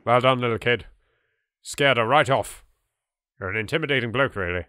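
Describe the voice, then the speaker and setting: A man's voice: mid-range voice, moderate pace, sharp tone, no room sound, clean studio sound. A man with an English accent hosting a let's play, giving theatrical, wry, playful commentary.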